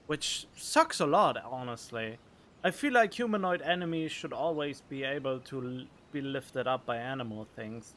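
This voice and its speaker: A man's voice: medium pitch, lively intonation, normal speed, a bit bright, a little hiss or hum. A man doing casual, joking let's play commentary and reading dialogue choices aloud. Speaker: gaming streamer. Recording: faint game music behind.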